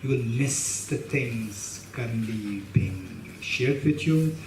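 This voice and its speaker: A middle-aged man with a Tibetan accent teaches a class, emphatic and gesturing, circling back to repeat his phrases. His voice sits at an ordinary pitch and is heard from a little way off the microphone. The hall is echoey, with a faint hiss underneath. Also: expressive, slow pace, gravelly. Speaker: Buddhist teacher. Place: meditation hall with shrine, indoors. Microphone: stand mic in front of him. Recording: roomy hall sound, mic a bit distant, faint hiss.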